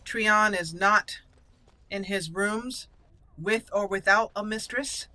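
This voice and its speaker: A woman's voice: mid-range voice, takes it slowly, pushed and bright, no echo, poor audio. A woman hosting a casual role-play let's play, thinking aloud playfully and slipping into in-character lines. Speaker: gaming channel host, role-player. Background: faint game music.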